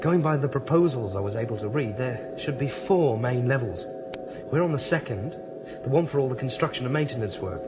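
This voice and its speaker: An actor playing a determined rebel, explaining methodically and earnestly in a radio drama. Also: male voice, medium pitch, expressive, brisk, over music, natural voice. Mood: intent, explaining. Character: determined male rebel planning to shut down a ruling computer. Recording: dull lo-fi recording, clear background noise.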